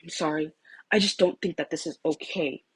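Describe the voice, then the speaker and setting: Protective, disapproving teen boy for a storybook, low and restrained female reader acting him. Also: moderate pace, breathy edge. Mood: apologetic.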